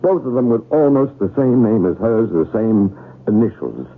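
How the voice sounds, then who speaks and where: An actor playing a worried, insistent husband and professor, speaking earnestly in an old-time radio drama style. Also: male voice, on the low side, expressive, normal speed. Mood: puzzled, concerned. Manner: earnest, urgent. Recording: tinny, noisy old radio broadcast.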